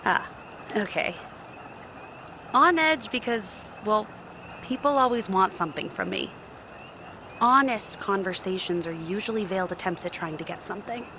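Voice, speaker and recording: An actress playing a guarded, sarcastic genie bartender in a video game, blunt and casual. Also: female voice, mid-range voice, background noise. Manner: blunt, dry, sassy. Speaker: video game romance character. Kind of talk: scripted game dialogue, casual.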